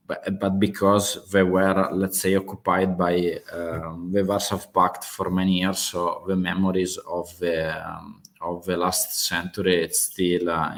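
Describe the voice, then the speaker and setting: A man with a heavy Italian accent speaks in a low, deep register. He talks unhurriedly in English, halting often with fillers and restarts. He sounds like a live-stream host chatting with his audience. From Italy. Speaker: host of live geopolitics chat. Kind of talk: casual live-stream talk.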